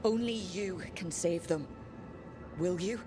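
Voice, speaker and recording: A woman's voice: fairly low pitch, slow pace, music in the background. A woman playing a steadfast, earnest, determined heroine in dramatic video game dialogue. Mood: earnest, encouraging.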